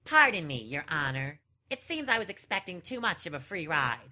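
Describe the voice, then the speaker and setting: A woman's voice: fairly low pitch, very animated, moderate pace, bright, forceful tone, full character voice. A woman voicing a smug, sneering male prosecutor who is easily flustered, in a theatrical, condescending courtroom fan dub. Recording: music behind the voice.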